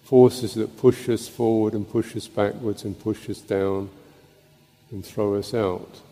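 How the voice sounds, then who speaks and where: In a long, echoing hall, a man with a lowish voice guides a meditation. He speaks very slowly as a monk teaching, placing short phrases between pauses. His manner is contemplative and halting. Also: fairly even intonation, warm tone. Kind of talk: guided meditation and Dhamma talk. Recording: long reverberant hall echo.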